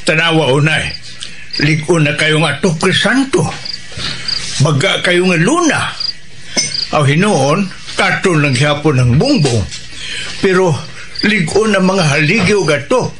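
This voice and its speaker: A man playing a wary, poor father in a radio drama, halting and stammering, with a Cebuano accent. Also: on the high side, expressive, background noise. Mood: musing. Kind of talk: Filipino radio drama acting.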